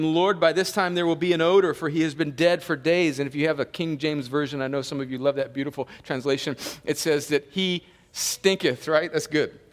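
A male pastor preaching a sermon, chatty at times and then emphatic, sniffling with a slight cold. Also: fairly high pitch. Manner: conversational preaching that rises to emphatic peaks. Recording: long hall reverb.

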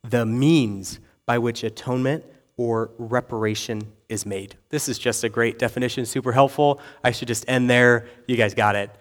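A man's voice: medium pitch, fairly even intonation, moderate pace. A young adult man preaching to a congregation, conversational and self-deprecating, often checking in with "okay?" and "right?". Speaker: pastor. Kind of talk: sermon.

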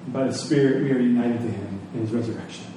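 A man preaching a sermon as a pastor, speaking earnestly and deliberately. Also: mid-range voice. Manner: earnest, rhetorical questions, deliberate pauses. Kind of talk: sermon closing in prayer. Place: large echoey room before a congregation. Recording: echoey hall, distant mic, faint noise.

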